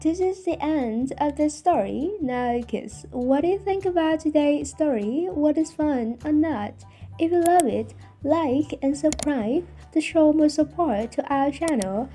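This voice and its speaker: Female kids' channel presenter, high mellow voice, scripted sign-off delivery over light music. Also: expressive, a little slow. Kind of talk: scripted outro addressed to children. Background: faint music.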